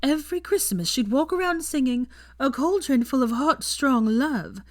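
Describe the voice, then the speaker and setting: A woman voicing a drunk, giddy young woman in a storybook, with excited, loud and dramatic delivery. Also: high-pitched, moderate pace, no echo, clean studio sound, pitched above the performer's own voice. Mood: grave complaint. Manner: excited, shrieking, slurred exclamations.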